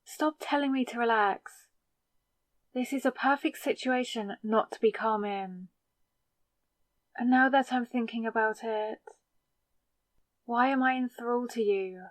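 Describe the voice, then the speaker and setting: Casting: actress as freshly turned female vampire for audio roleplay. Slightly high voice, unhurried delivery, defiant and exasperated. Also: no echo, lightly acted. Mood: incredulous, upset. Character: newly turned young woman vampire, sarcastic, rattled, defiant. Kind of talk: scripted ASMR audio roleplay.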